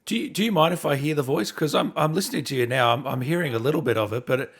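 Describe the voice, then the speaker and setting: A man's voice: a little high, racing. A man hosting a casual interview, speaking in a polite, conversational way. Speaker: interviewer, host.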